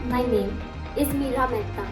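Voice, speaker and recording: A woman's voice: on the high side, normal speed. A woman, formally introducing herself as an award recipient. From India. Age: 20s. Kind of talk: short self-introduction. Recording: echoey room with music underneath.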